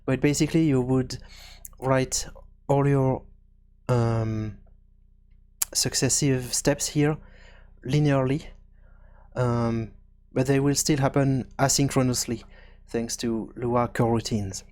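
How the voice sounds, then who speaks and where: A man presenting an unscripted programming tutorial, hesitant and halting as he thinks aloud. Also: medium pitch, fairly even intonation, darkish tone.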